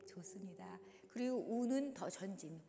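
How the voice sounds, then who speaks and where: A hoarse woman guiding a congregation through simple prayer movements in a church, speaking slowly and encouragingly. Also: mid-range voice, animated, mellow, a little hiss or hum. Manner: slow, instructive, encouraging. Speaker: dance leader in a church service. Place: large church sanctuary. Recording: long reverb in a large hall.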